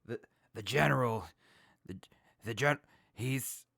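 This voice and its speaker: An actor in an audio drama playing an earnest hepcat military captain who talks in jive beatnik slang. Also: male voice, medium pitch, slow pace, creaky, pushed and bright, dry recording, studio quality, full character voice. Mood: grieving.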